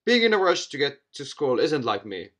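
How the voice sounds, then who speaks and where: A man's voice: on the high side, big pitch swings, normal speed, fairly bright tone. A man hosting a gaming video, reading a visual novel aloud with theatrical flair and casual asides, stumbling over words. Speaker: video game let's player, host.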